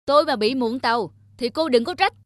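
A man's voice: very high voice, brisk, heavy background noise. A man voicing an irritable, self-important young passenger in a cartoon, brusque and argumentative. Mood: irritated, arguing. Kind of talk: cartoon character acting.

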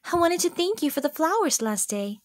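A woman's voice: extremely high pitch, big pitch swings, fairly quick, no room sound, clean studio sound. A woman voicing a sweet, bubbly young female hedgehog in a cartoon comic dub, cheerful and affectionate. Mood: warm, kind.

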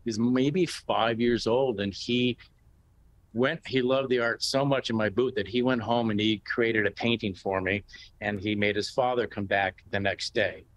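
Older American male guest, sixties, lower register, conversational answers over video call. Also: fairly even intonation, measured pace, poor audio. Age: 60s. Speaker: interview guest, painter. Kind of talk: casual conversational interview answers. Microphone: video call, sitting back from the device.

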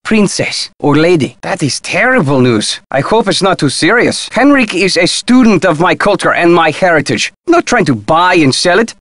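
Proud diplomat, lightly pitched. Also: male voice, sing-song, normal speed, few pauses, a bit bright, dry recording. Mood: sly, persuasive, then cool, guarded. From Mexico. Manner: formal, precise, emphatic. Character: proud, indignant Mexican male diplomat, protective of heritage. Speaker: video game character. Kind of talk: scripted video game dialogue.

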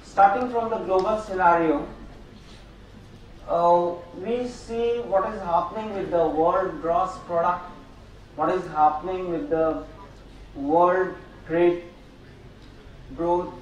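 An older man speaks slowly from a lectern in a large, very echoey auditorium, his voice picked up from a distance. He pauses often and fills gaps with hesitations as he walks through his slides. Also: sits high, fairly even intonation. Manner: slow, halting lecture with frequent fillers. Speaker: keynote speaker. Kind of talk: academic conference keynote. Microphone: podium microphone, room sound. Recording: echoey hall, voice from afar, faint noise.

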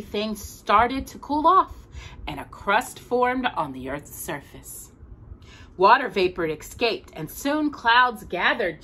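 Casting: middle-aged woman, storytime reader, lively swooping pitch, deliberate dramatic phrasing. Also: poor audio. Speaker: storytime host reading aloud. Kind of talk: expressive children's storybook reading. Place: small room at home with bookshelves.

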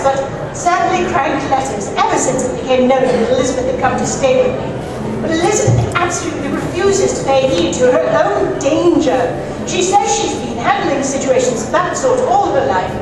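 A woman playing a melodramatic, flustered middle-aged society lady in a live radio play, genteel and theatrical. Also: high voice. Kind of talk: old-time radio play performed live from scripts. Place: live stage in a dim hall before an audience. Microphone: stage mic through speakers, picked up by far camera. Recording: echoey hall from far off, audience noise.

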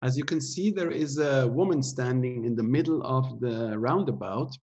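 A man's voice: medium pitch, normal speed, breathy edge, dull audio. Middle-aged guy on a webcam call, chatty and loose, lots of ums while he explains. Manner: relaxed, explaining with many fillers. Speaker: theatre director giving an artist talk. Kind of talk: casual online talk. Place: indoor room at home. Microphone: laptop webcam video call.

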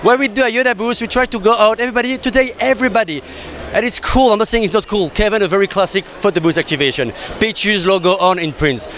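High-voiced Belgian man pitching eagerly. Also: fast, hardly any pauses, a bit bright. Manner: eager, persuasive, slightly non-native phrasing. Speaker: exhibitor demonstrating a photo booth. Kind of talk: energetic product demo and sales pitch. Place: crowded trade show hall, indoors. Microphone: handheld mic. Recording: crowd chatter from a busy expo floor.

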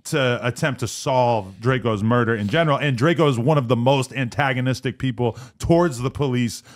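A man's voice: mid-range voice, brisk. A man hosting a podcast, talking through the news in a casual, rambling way and swearing freely. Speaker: podcast host. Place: podcast studio. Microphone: studio mic on stand.

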